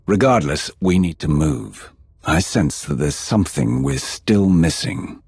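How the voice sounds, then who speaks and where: A man voicing a grim, resolute Sith warrior in a video game cutscene, with a gravelly, husky voice and a terse, commanding manner. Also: low-pitched, sing-song, a little slow, a little mellow, no echo, heavily acted. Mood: grim resolve.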